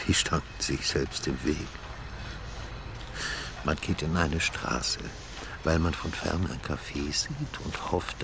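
An actor playing an insistent, self-justifying urban planner in a radio drama, explaining himself in a gravelly voice. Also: male voice, a bass voice, background noise, full character voice. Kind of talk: naturalistic radio drama acting.

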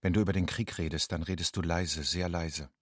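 An actor playing a somber narrator in a radio play, with a husky voice and a grave, repetitive, incantatory way of addressing the listener. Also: male voice, low-pitched, fairly even intonation, a little fast, close to an everyday voice. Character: somber inner narrator addressing a war refugee as "you".

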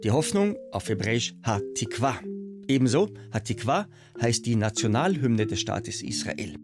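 A man hosting a radio program, speaking in a measured, solemn way. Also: mid-range voice, very animated, over music. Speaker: radio host. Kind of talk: scripted radio presentation.

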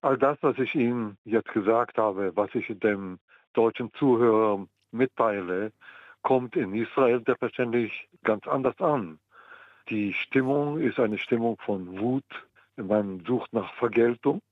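An older man from the Mandate of Palestine, speaking as a historian in an interview, measured and pausing often with ums. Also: medium pitch, no echo. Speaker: guest historian. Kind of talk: interview answers. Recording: tinny phone line, dry.

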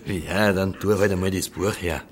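An actor playing a drunken, brooding village pub philosopher with a Bavarian accent and a ponderous, gruff manner. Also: male voice, sits low, normal speed, close to an everyday voice. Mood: grumpy, insistent. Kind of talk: audio drama acting in dialect.

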